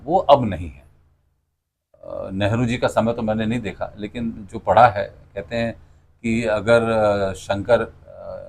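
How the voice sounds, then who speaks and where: A middle-aged man, answering interview questions conversationally and explaining through anecdotes. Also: on the low side. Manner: explanatory, tells anecdotes with quoted dialogue. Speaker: interview guest, cartoonist and radio announcer.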